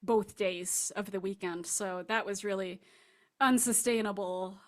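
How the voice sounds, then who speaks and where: A woman answers interview questions as a guest author. She talks in a casual, thoughtful conversational style. Her voice has an average pitch for a woman. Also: steady tone, unhurried.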